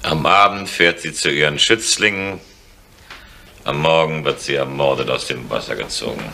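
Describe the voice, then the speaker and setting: Super deep matter-of-fact cop, 70s radio drama, noisy recording. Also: male voice, moderate pace, creaky. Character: matter-of-fact male police detective. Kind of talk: 1970s German radio crime drama acting.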